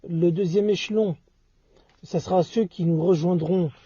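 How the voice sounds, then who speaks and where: High-pitched husky man, halting, muffled lo-fi. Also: fairly even intonation, mellow, dry recording. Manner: halting, repeats and reformulates phrases. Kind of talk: casual two-person discussion. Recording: dull lo-fi audio.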